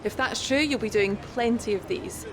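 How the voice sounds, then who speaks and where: An actress playing a brisk, businesslike female motorsport reporter doing an interview in a video game cutscene. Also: a little high, crowd noise, close to an everyday voice, low-quality recording. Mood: brisk, professional. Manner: brisk, directing, professional.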